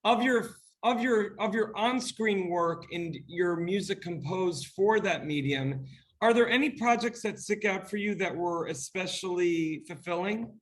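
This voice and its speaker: A man's voice: sits high, measured pace. A man hosting an interview, effusive and upbeat in his questions. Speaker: interview host. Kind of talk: enthusiastic interview host.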